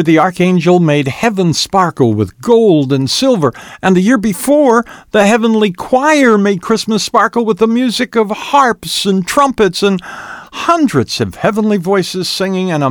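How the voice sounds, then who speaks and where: A humble, anxious heavenly helper from a children's story, voiced by a male narrator. The voice is high and very animated, effusive and eager. It sounds close and dry. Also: a little slow, dry recording. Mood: anxious, awed.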